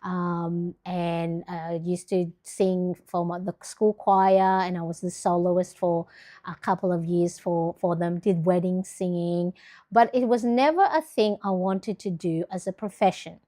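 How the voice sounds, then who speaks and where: A woman's voice: lowish voice, steady tone, unhurried, warm tone. Chatty woman on a planner livestream. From Philippines. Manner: chatty, rambling with many fillers and restarts. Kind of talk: casual livestream chat.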